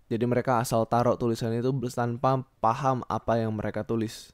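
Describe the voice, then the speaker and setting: A young man talks casually alone as a podcast host, rambling and halting as he thinks aloud and repeats himself. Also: medium pitch, level tone, dry recording, clean studio sound. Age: young adult. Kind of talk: casual solo podcast monologue.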